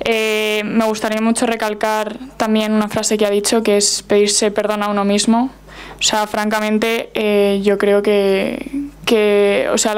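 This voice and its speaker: A woman's voice: medium pitch, clean tone. A young woman moderating a panel, speaking off the cuff with many fillers and repeats at a desk microphone. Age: young adult. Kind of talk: casual spoken remarks. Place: university auditorium panel.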